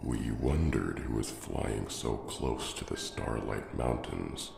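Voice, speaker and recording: A very deep dragon growls slowly and solemnly, loyal to his kin yet wary. The voice has a heavy creak and belongs to an ASMR fantasy roleplay. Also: exaggerated voice. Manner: slow, solemn. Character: loyal male dragon, wary brother of the alpha. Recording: roomy echo with loud background ambience noise.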